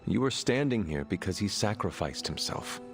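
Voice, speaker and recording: Low-voiced, formal, grave leader. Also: male voice, sing-song, moderate pace, vocal fry, over music. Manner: formal, avoids contractions. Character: grave, measured leader with formal, caring counsel. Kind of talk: video game cutscene acting.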